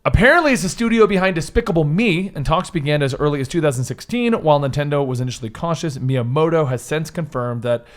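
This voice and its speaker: A man's voice: on the high side, expressive, fast, few pauses. A man co-hosting a casual list discussion, reading items out and commenting in a jokey, self-correcting way. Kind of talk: casual chat with read-out news items.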